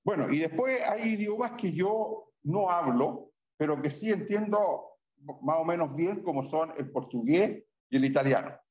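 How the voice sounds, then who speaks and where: A man's voice: a little high, animated, unhurried, a little mellow. A man with a Chilean accent, a university math professor chatting informally with his students and tagging his sentences with "¿ya?" and "¿ah?". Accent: Chilean Spanish. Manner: conversational, tags sentences with "¿ya?" and "¿ah?". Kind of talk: informal chat with students during class. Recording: very echoey room, distant mic.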